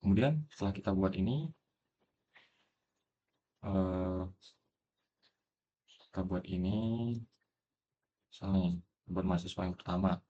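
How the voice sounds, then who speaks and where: A man's voice: low-pitched, darkish tone, breathy edge, highs cut off. A young man explaining programming step by step in a casual tutorial, thinking aloud with frequent fillers. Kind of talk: screen-recorded coding tutorial.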